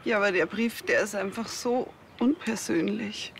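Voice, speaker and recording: An actress playing a plain-spoken, puzzled and defensive widow with a Bavarian accent, in naturalistic TV drama dialogue. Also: female voice, mid-range voice, moderate pace, creaky, a little hiss or hum, close to an everyday voice.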